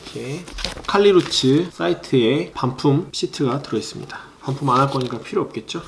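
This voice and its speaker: A man calmly walking through a sneaker review, explaining details as he handles the box and shoes, softening his opinions as he goes. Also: medium pitch, fairly quick, gravelly, slight background noise. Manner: calm, explanatory, hedging opinions; handling items while describing them. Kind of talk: product review vlog.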